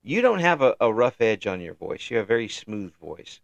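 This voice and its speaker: A man in his fifties hosting a casual chat interview, talking in a halting, rambling way with repeated words. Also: lowish voice, sing-song, gravelly, dry recording, muffled recording. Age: 50s. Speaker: interview show host.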